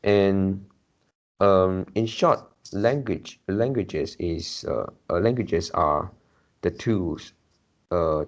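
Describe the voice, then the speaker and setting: Deep, slow Malaysian man. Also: dull audio. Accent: Malaysian English. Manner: hesitant, pauses to think. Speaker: discussion participant. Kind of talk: casual discussion.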